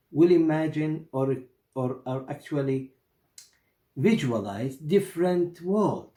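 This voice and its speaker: A middle-aged male storyteller with an Iraqi Arabic accent speaks slowly, in halting, deliberate phrases. His pitch moves expressively, and the room adds a noticeable echo. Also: warm tone. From Iraq. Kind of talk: explanatory storytelling for an audience. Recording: roomy echo.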